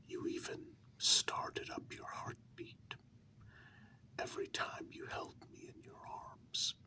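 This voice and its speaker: A performer whispering an ASMR role-play as a tender, candid human lover of a vampire, intimate and halting, in a reassuring way. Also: close to an everyday voice. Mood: tender, reminiscing.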